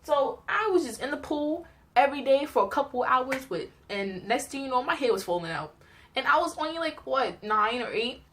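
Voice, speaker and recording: A young woman tells personal stories in a casual, chatty vlog style, animated and giggly as she acts out little reactions. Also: high-pitched, moderate pace. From New York, United States. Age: young adult. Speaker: vlogger telling personal stories.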